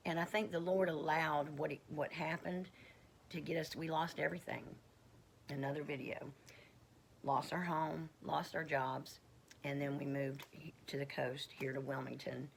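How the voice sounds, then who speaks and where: Woman, middle-aged, croaky, low-pitched, rambling casual testimony, halting with fillers. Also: level tone, a little hiss or hum. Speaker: homestead vlogger.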